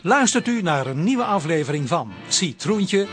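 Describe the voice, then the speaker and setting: An actor as a genial narrator introducing a café radio drama serial. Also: male voice, high voice, big pitch swings, measured pace. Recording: loud guitar music under the voice.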